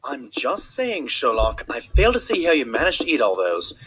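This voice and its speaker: A man voicing an earnest, excitable pony, companion to a cartoon detective, who also tells the story. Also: mid-range voice, normal speed, a little hiss or hum, poor audio. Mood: exasperated. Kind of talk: cartoon acting and narration. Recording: music behind.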